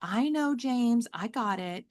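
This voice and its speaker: A woman voicing a patient, apologetic mother who speaks gently and gets a little flustered, higher than her own voice. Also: lively intonation, slow pace. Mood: gentle.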